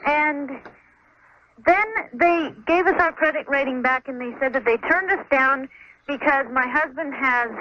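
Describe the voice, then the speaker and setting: A woman's voice: high-pitched, a little slow, no echo. An American woman calling a radio show by telephone, casually and a little tentatively explaining her question. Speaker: caller to radio advice show. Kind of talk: casual phone conversation. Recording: muffled noisy phone line on radio.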